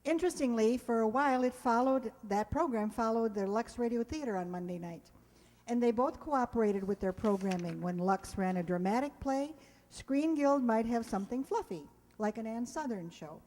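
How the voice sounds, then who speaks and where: A woman's voice: fairly high pitch, animated, moderate pace, a little mellow. A woman hosting, giving a scripted spoken introduction to a show. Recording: reverberant hall recording.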